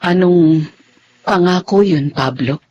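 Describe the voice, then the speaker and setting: A woman's voice: on the low side, takes it slowly, warm tone, muffled recording, a little hiss or hum. A woman acting a consoling, faithful older mother in a radio drama, speaking earnestly. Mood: surprised. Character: comforting, faithful older mother of a poor family.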